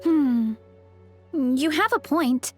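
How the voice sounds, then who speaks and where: Earnest, slow-spoken young heroine. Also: female voice, high-pitched, expressive, faint music behind, heavily acted. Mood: earnest, admiring. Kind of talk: video game voice acting.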